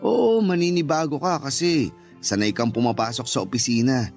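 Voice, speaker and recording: A man's voice: medium pitch, normal speed, darkish tone, natural voice. A man playing an earnest, protective young husband and dutiful son in a radio drama. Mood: earnest, persuasive. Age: young adult. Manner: earnest, persuasive. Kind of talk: Tagalog radio drama acting. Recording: music bed under the voice.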